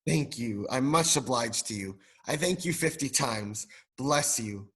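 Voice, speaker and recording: A man's voice: mid-range voice, animated, measured pace, a bit bright, slightly rough. A male drama student playing an elderly reformed miser, joyful and repentant, in a radio play. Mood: jovial, grateful.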